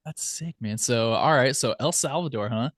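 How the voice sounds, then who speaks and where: A man hosting a casual interview, reacting with short easygoing remarks and asking relaxed questions. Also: medium pitch, moderate pace, no room sound, studio quality. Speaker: podcast host.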